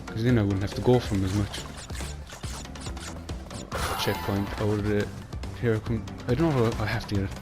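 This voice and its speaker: Fast-talking Irish man over game music. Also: lowish voice, steady tone. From Ireland. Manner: fast, rambling, chatty with Irish phrasing like "class". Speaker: gaming channel host. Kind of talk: casual gameplay commentary.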